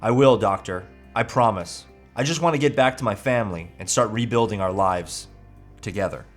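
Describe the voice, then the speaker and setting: A man's voice: lowish voice, moderate pace, faint music behind, close to an everyday voice. A man reading the part of an earnest, grateful family man recovering from addiction in a script read. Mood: resolute. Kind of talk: amateur table read.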